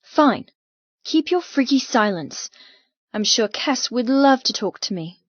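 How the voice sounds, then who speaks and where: Actress as sharp-tongued young woman, wounded and defiant, highish voice, emotional audiobook dialogue, slightly muffled. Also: lively intonation, measured pace, no room sound. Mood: exasperated, defiant. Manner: confrontational, emotional.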